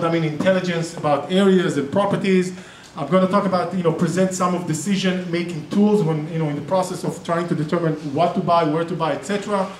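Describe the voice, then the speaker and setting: Brief: Israeli man, middle-aged, casual seminar presenter, slightly high voice, recorded from afar in an echoey room. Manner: chatty, self-interrupting, joking asides. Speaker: real estate investing presenter and group organizer. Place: meeting room with projector. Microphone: far camera. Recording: roomy echo, distant camera, faint noise.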